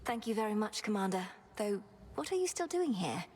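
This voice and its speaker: A woman playing an earnest young underworld princess in a video game, speaking formally and with measured courtesy. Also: mid-range voice, big pitch swings, darkish tone, slightly rough. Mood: gentle, sincere. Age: young adult.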